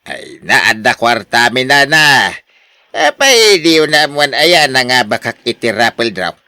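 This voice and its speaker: A male actor plays a boastful older husband in a Filipino radio drama, with an Ilocano accent. The pitch swings widely and the tone is bright and forceful. He is expansive and full of exclamations, recorded close and dry. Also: sing-song, unhurried, no echo, exaggerated voice. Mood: breezy, generous. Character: boastful, generous older husband, newly rich lottery winner.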